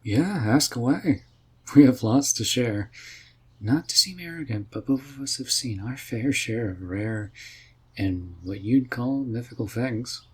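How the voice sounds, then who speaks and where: A man's voice: mid-range voice, lively intonation, a little slow, husky. A man voicing a laid-back, friendly and curious male mage in a fantasy roleplay audio, with a casual, questioning manner. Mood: amused, casual. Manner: casual, easygoing, puzzled questioning. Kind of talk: roleplay audio drama for the listener.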